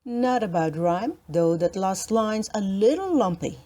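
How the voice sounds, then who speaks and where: A woman narrating a children's storybook as an expressive read-aloud. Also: mid-range voice, a little slow.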